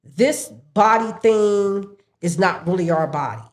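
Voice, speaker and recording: A woman's voice: medium pitch, measured pace. A woman, chatting as a podcast guest and telling stories with lots of laughter. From United States. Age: 60s. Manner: animated storyteller, laughs often, acts out her family's voices. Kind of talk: casual chat.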